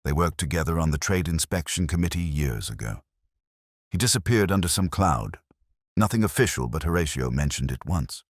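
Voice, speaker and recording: A man voicing a proper, hesitant Victorian widow in a hushed, deliberate, formal manner for a storybook. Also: rumbling low, animated, no echo, studio quality. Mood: puzzled, recalling.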